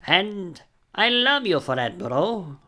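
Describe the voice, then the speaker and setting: A woman's voice: lowish voice, very animated, slow pace, pushed and bright, full character voice. A woman voicing an exasperated, responsible younger brother who scolds earnestly but cares, in a cartoon-style audio play. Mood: earnest, weary.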